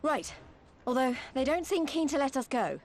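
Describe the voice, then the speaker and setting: Eager young adventuress for game cutscenes: high, brisk, animated. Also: female voice. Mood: wry. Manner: brisk, plucky, expressive. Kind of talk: dramatic game cutscene acting. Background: music.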